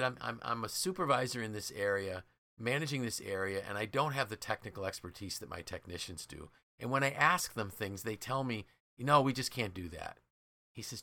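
A man's voice: fairly low pitch, sharp tone, no room sound. A man hosting a podcast, telling stories conversationally in an enthusiastic, emphatic way and acting out quoted dialogue. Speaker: podcast host and leadership trainer.

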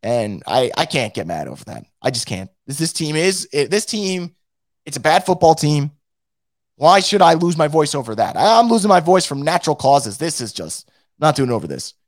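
A hoarse man who is losing his voice hosts a casual fan show and reads out the live chat, blunt and profane, with many restarts. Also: a little high, very animated, normal speed, dry recording, studio quality. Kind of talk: casual post-game rant.

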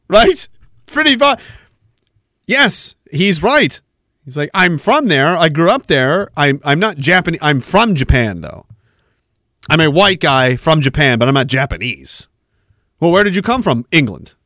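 A man's voice: on the high side, sing-song, unhurried, long pauses, sharp tone, dry recording, studio quality. A Canadian man hosting a podcast, ranting sarcastically with rhetorical questions while reacting to a clip. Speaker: podcast host. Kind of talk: ranting commentary over a played clip.

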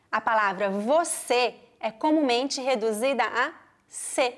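A woman from Brazil with a mixed Brazilian accent, teaching a pronunciation lesson with clear, deliberate explanations and spoken examples. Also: sits high. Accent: Brazilian Portuguese, mixed regional. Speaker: Portuguese teacher.

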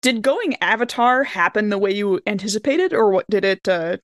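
A woman's voice: mid-range voice, expressive, normal speed, dry recording. A woman chatting casually and enthusiastically as a podcast co-host, rambling and often saying like. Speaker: podcast co-host and role-playing game player. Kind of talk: casual panel chat.

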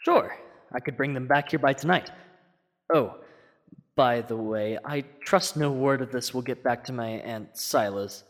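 A young man plays a courteous villain with a sinister core in an old-style radio thriller. His voice is medium in pitch and rings through a long hall reverb. He speaks deliberately and theatrically. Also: lightly acted. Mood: friendly. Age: young adult. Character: outwardly polite, secretly sinister male villain, a restorer. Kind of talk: classic radio drama acting.

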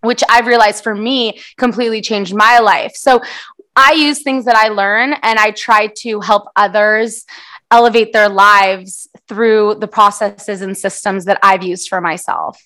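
A woman's voice: medium pitch, fairly even intonation, a little slow, pushed and bright. A young American woman, a native Portuguese speaker from San Diego, giving reflective, conversational answers as an interview guest. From San Diego, United States. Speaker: interview guest, musician and publicist.

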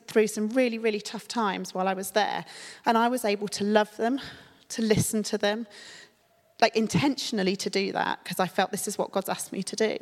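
A woman preaches to her congregation, her voice slightly croaky from a cold. She speaks in a relaxed, conversational way, pausing on little fillers. Her words ring out with a long reverb off the walls of a church hall. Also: medium pitch. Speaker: church leader. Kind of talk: sermon.

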